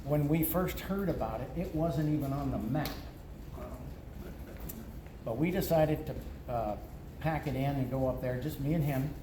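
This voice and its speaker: A man's voice: medium pitch, normal speed, pauses often. An older man giving a plainspoken eulogy for an old friend, reminiscing at a memorial gathering. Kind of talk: memorial remembrance. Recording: echoey room with background noise.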